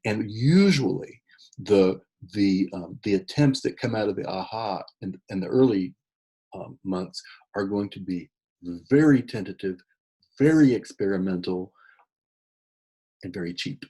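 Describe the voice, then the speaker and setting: A middle-aged man giving an unscripted talk on church ministry, halting with many fillers and restarts. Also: lowish voice, lively intonation, dark tone, slightly rough, rough recording. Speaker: church consultant and author.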